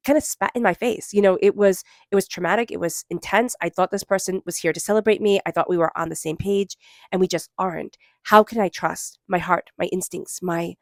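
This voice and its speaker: A woman with a stuffy cold giving a spiritual tarot reading, gentle and meandering, slowing into soft chanted invocations. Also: lowish voice, dry recording, clean studio sound. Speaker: tarot reader.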